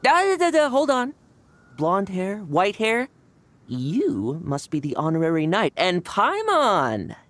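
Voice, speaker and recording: A woman voicing an energetic, cocky young male knight in a video game. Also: medium pitch, slow. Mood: friendly. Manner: energetic, cocky, laughs easily.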